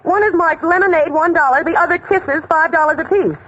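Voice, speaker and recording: A woman's voice: very high-pitched, a little fast. An actress playing a wry, exasperated young woman who narrates dramatically in a 1940s radio comedy. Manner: wry, dramatic storytelling delivery. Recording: noisy, muffled 1940s radio recording.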